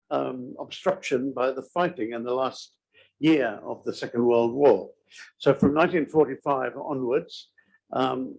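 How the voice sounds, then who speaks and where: Halting, gravelly man lecturing. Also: mid-range voice, a little mellow, low-quality recording. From Australia. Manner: hesitant, many ums and uhs. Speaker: conference speaker. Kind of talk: academic conference talk.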